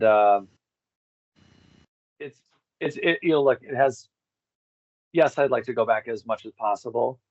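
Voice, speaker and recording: A man chatting casually as an interview guest, halting and informal, often repeating words for emphasis. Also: mid-range voice, dry recording, muffled recording. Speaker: interview guest, tour organizer. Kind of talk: casual rambling conversation.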